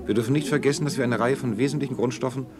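An actor playing a cold, imperious ruler-scientist in a science fiction radio drama, speaking in a clinical, authoritative way. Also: male voice, mid-range voice, fairly even intonation, rapid-fire, a crowd in the background, lightly acted. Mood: coldly decisive.

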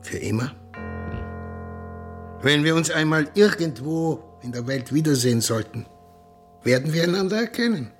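A man's voice: fairly high pitch, lively intonation, normal speed, long pauses, soft background music, natural voice. A man in a radio play acting a silver-bearded café owner, grave and courteous, with a formal, subdued delivery. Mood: grave, resigned.

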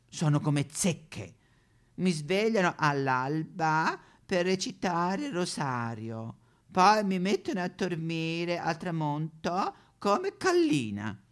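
A man's voice: on the high side, a bit bright, pitched above the performer's own voice, heavily acted. A man playing an elderly retired German pope in a satirical stage monologue, with a German accent, mock-solemn sing-song preaching and petulant complaints. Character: elderly retired German pope, whiny, pious but foul-mouthed.

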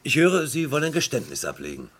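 An actor playing a stern, impatient British police interrogator in a German radio crime play, with a commanding, sarcastic way of questioning. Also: male voice, mid-range voice, huge pitch swings, fast talker, a bit bright, a little hiss or hum. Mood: impatient, probing.

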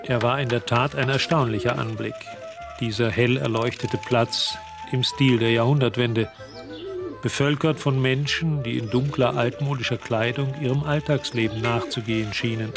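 An actor narrating a literary radio drama as a composed storyteller, reading scripted prose. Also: male voice, medium pitch, steady tone, moderate pace, vocal fry, over music, lightly acted.

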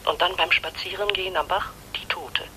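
A disillusioned middle-aged wife longing to escape her life. Her voice is very bright and tense, pleading and intense in radio-drama style, with faint noise behind it. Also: lowish voice, brisk, low-quality recording. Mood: wistful, pleading. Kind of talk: German radio play acting.

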